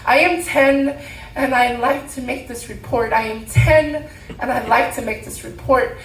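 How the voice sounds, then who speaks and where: High-voiced woman performing spoken word. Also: fairly even intonation, fairly bright tone. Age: 30s. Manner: emphatic, with deliberate repeated phrases. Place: room at a live poetry event. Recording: roomy, distant mic, faint background noise.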